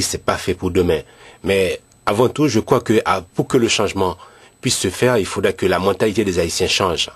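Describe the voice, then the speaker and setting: Very deep, husky-voiced pastor. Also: male voice. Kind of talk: earnest commentary.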